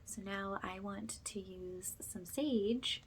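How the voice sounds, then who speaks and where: A soft-spoken woman who often drops into a whisper as she gently guides an ASMR spiritual cleansing. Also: mid-range voice, slow pace, slight background noise. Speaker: ASMR energy healer, host.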